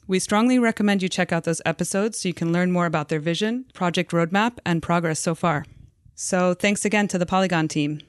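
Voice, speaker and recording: A woman, a podcast producer, reading a sponsor message in a steady, even way. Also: fairly low pitch, dry recording, studio quality.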